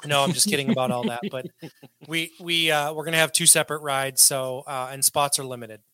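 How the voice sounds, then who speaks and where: A man's voice: fairly high pitch, steady tone, brisk, sharp tone, smooth, no echo. A man hosting a casual podcast, loose and joking with crude humor. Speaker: podcast host.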